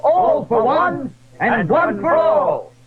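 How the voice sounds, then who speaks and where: A tinny, narrow old recording carries a man acting a hearty swordsman in an adventure audio drama. His boastful voice sits high and swings with lively pitch. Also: takes it slowly, a crowd in the background, exaggerated voice.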